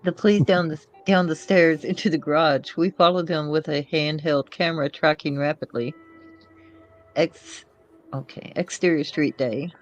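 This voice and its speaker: A woman's voice: deep voice, steady tone, normal speed, highs cut off, faint music behind. A woman narrating screenplay action in a loose, informal way, sometimes stumbling over the script. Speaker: co-reader of screenplay.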